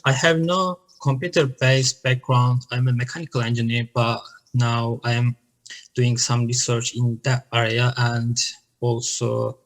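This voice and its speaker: Turkish-accented man, flat halting talk. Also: mid-range voice. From Turkey. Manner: halting, with frequent restarts and fillers. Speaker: conference presenter. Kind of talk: answering questions on a video call. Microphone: laptop webcam mic on a video call.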